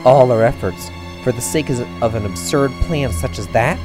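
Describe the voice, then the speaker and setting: A man's voice: mid-range voice, normal speed, over music, natural voice. A man voicing an earnest, anguished young soldier in a role-playing game, speaking formally and with passion. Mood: grave. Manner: formal, impassioned.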